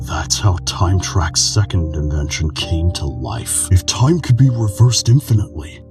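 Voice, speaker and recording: A man voicing a weary, calm, melancholic old keeper of memories in a game fandub, speaking in a measured, solemn way. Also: deep voice. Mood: grave, reflective. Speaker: amateur voice actor in a game fandub. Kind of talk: dramatic scripted monologue, game dubbing.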